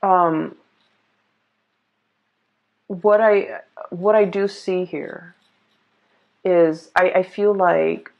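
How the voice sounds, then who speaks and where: Low-voiced woman, halting delivery. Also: steady tone, gravelly, darkish tone. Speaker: tarot reader. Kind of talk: casual tarot reading, spoken off the cuff. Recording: dull, muffled lo-fi sound.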